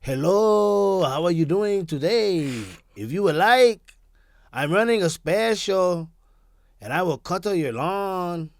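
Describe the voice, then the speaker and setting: A man putting on a Mexican accent to voice a grown man politely offering to cut your lawn, in a slow, careful sales pitch. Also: animated, dry recording, heavily acted. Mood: salesy. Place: podcast studio.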